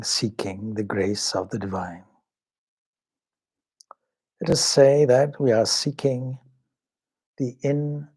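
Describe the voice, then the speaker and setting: A man leading a guided meditation as a swami, speaking in a measured, solemn, devotional way with pauses between short phrases. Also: on the low side, darkish tone, husky.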